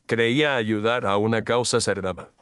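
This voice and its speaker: A gentleman detective in an audio story, acted by a man, with a lowish voice in a dry, close recording. He is composed and formal, setting out his reasoning with deliberate, cool precision. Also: smooth, no echo, lightly acted. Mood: measured, firm. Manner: formal, deliberate, coolly accusing. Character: cool, incisive, measured male gentleman detective. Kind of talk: dramatized audio story acting.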